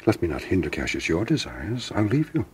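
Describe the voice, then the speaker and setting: An actor playing a troubled, reserved Roman nobleman in a classical radio drama, with grave, measured verse delivery. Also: low-pitched, wildly swooping pitch, slightly rough, full character voice.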